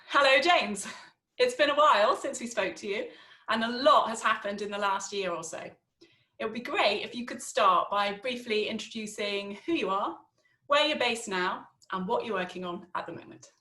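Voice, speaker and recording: Bright-voiced woman host, roomy sound. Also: a little high. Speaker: interview host. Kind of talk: scripted interview intro and questions.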